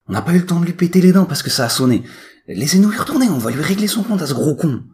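A man's voice: a little high, sing-song, fast talker, a little mellow, voice pushed up. A man voicing a dim, foul-mouthed young troublemaker, brash, slangy and indignant, in a comic audio story. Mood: aggrieved, boastful.

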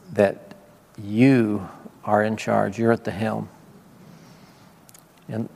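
Gravelly fervent preacher man, hall echo. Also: fairly low pitch, a little mellow. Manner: fervent, repetitive praying. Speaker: visiting preacher. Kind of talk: prayer and sermon. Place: church service. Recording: reverberant church hall.

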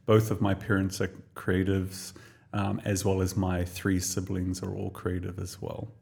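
A man's voice: low-pitched, monotone, a little slow, gravelly, darkish tone. A man, telling his own story in a reflective, chatty way on a podcast. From New Zealand. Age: 40s. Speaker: podcast co-host and guest. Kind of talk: casual personal storytelling.

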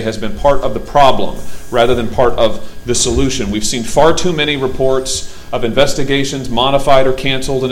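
A man speaks to a room as a candidate, driving each point home with forceful, emphatic stump-speech delivery. His voice sits at an ordinary middle pitch, and faint background noise runs under it. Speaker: political candidate. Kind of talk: campaign forum speech.

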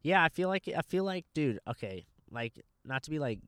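Laid-back swearing young man, smooth voice. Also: fairly high pitch, sing-song, normal speed, a bit bright, dry recording, clean studio sound. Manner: laid-back, swears a lot, says dude constantly. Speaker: podcast host and stand-up comic. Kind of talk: casual podcast chat. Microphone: handheld microphone.

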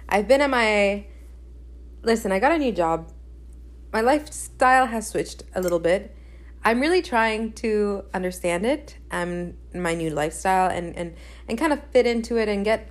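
A young woman, a native Spanish speaker, hosts a casual solo podcast in a medium-pitched voice. She talks openly and chattily, often repeating a phrase to stress it. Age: young adult. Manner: chatty, candid, emphatic with repetition. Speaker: podcast host.

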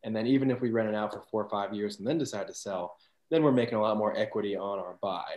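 A man's voice: lowish voice, fast talker, a little mellow, roomy sound. A man in his twenties, a guest on a podcast, talking about money in an easygoing way, with loose restarting phrases. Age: 20s. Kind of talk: casual chat.

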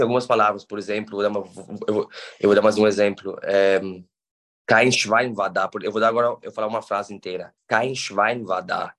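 Guest, male, 30s, São Paulo accent, lowish voice, halting and patient. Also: a bit bright. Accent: São Paulo Brazilian Portuguese. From Brazil. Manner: hesitant, restarts words, explains patiently. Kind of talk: conversational interview.

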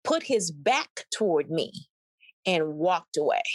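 A woman guest with a fairly low voice, recorded close and dry. She tells her stories in an animated, wry way, with laughs running through them. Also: slow pace, husky, no echo. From Mississippi, USA. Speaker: actor and guest. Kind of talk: storytelling in an interview.

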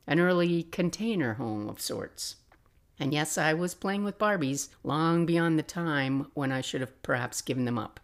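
A woman in her sixties narrating personal memories as a podcast host, reflective and expressive with wry asides. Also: low-pitched, a little slow. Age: 60s. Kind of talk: personal memoir storytelling, scripted narration.

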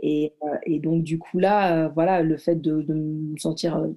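Guest, woman in her thirties, from Morocco; relaxed, halting storyteller with a dark, soft tone. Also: deep voice. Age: 30s. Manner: reflective, halting, lots of fillers and restarts. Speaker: guest athlete and former firefighter. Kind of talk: casual conversational storytelling.

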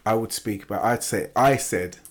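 A man born in England, co-hosting a casual discussion, talking haltingly with many fillers and restarts. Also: on the low side. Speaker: podcast co-host.

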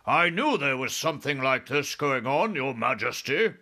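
A man's voice: medium pitch, big pitch swings, moderate pace, pushed and bright, heavily acted. A man voicing a blustering, hot-tempered army commander in a storybook, with indignant, barking military talk. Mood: urgent reporting. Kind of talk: storybook character voice.

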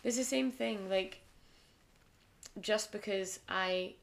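Podcast guest, croaky gravelly voice, chatty, laughs a lot, mid pitch. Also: female voice, slow. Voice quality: froggy, gravelly voice. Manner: animated, laughs often, drops quieter on asides. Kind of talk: casual conversation.

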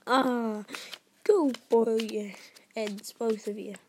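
A woman voicing a huge, gruff, hot-tempered male giant with rustic speech and booming, growling outbursts in a storybook reading. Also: steady tone, takes it slowly, a little hiss or hum. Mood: furious. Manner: rustic dialect, emphatic outbursts.

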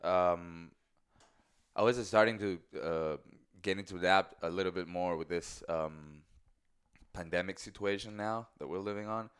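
Ecuadorian-accented male guest, very low, very clean resonant tone, relaxed and halting. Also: clean tone. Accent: Ecuadorian Spanish. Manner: easygoing, halting, laughs often. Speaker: guest, athlete and coach. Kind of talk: casual interview chat.

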